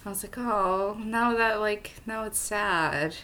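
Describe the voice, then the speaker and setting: A woman's voice: mid-range voice, slow pace, sharp tone, a little hiss or hum. A woman co-hosting a podcast, talking casually about a film in a hesitant, conversational way. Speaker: podcast co-host. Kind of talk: casual movie discussion.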